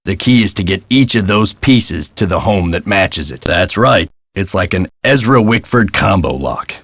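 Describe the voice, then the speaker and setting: Game caretaker, American, low and gruff, world-weary, guarded, folksy. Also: male voice, expressive, normal speed, a bit bright, no echo. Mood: helpful, gruff. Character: gruff world-weary male castle caretaker, guarded about his past. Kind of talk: video game voice acting.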